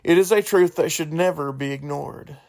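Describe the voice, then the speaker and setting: A man hosting a solo podcast in an informal, earnest way, halting and correcting himself as he goes. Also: fairly high pitch, vocal fry, darkish tone, poor audio. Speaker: podcast host.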